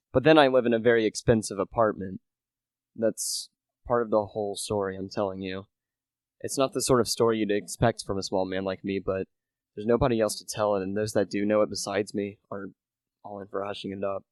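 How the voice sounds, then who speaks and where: A man's voice: lowish voice, dry recording, close to an everyday voice, low-quality recording. A teenage student actor playing a humble small-time salesman, hesitant and confiding, in an amateur radio play. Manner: hesitant, self-effacing, confiding. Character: humble, deferential small-time relish salesman narrating his tale. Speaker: high school drama student.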